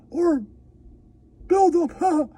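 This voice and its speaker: A man voicing a gleeful, manic puppet announcer who cackles and shouts comic hype. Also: very high voice, extremely slow, voice pushed up, full character voice.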